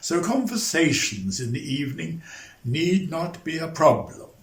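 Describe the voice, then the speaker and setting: A man's voice: on the high side, very animated, measured pace. A man playing a fussy, pompous older clergyman in a dramatized novel reading, speaking formally. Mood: complacent. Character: fussy, genteel older clergyman uncle who loves jelly. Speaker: amateur actor in dramatized reading. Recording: echoey room sound.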